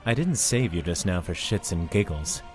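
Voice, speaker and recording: A man's voice: deep voice, animated, moderate pace, darkish tone, soft background music. A man playing a calculating young soldier, clipped and guarded, in a sci-fi drama.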